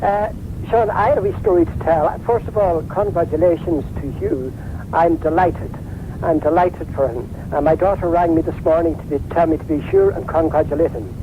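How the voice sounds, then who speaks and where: A man's voice: fairly high pitch, normal speed, background noise. Donegal Irish man on a tinny phone line. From Ireland. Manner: storytelling, acts out quoted voices. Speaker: radio caller. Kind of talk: phone-in anecdote.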